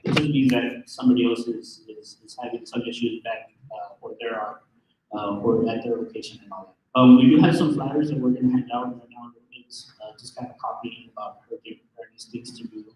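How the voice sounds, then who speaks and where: A man with a loud voice giving a fire safety briefing to a room of business people, hesitant with many ums and restarts. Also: medium pitch, steady tone, a little fast. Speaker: fire marshal office representative. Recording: echoey room, far from the mic.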